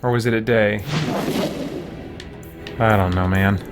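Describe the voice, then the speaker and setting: A man's voice: fairly low pitch, fairly even intonation, slow pace. A man giving casual, offhand gameplay commentary, thinking aloud in a rambling way as he plays. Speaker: video game let's play host. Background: game music.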